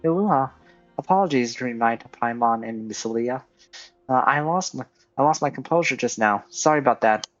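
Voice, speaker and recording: A man's voice: medium pitch, normal speed. A man streaming a video game and reading its dialogue aloud. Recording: dull lo-fi sound with music behind.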